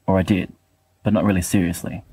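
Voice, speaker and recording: A man's voice: deep voice, level tone, a little fast, dark tone, breathy edge, no echo. A man reading fiction aloud expressively, as an awkward, bookish young letter writer, hesitant and wry. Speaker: volunteer audiobook reader.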